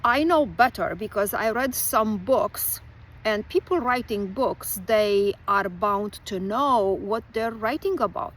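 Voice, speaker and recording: Woman, mid-pitched voice, slow deliberate delivery, thoughtful monologue. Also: a bit bright, low-quality recording. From Romania. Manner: measured and reflective, with many pauses. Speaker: folklore writer and teacher. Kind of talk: personal monologue recording.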